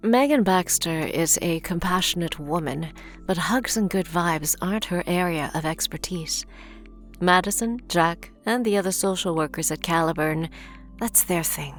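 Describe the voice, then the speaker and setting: A woman's voice: on the low side, moderate pace, faint music behind, natural voice. A woman giving scripted narration for a gritty superhero audio drama as its storyteller. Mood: measured.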